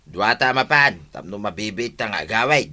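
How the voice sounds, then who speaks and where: A man's voice: on the low side, expressive, normal speed, sharp tone. A man with an Ilocano accent playing a scheming, pushy snail vendor in a radio comedy drama, with exaggerated wheedling delivery. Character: scheming, pushy male snail vendor hustling his neighbours.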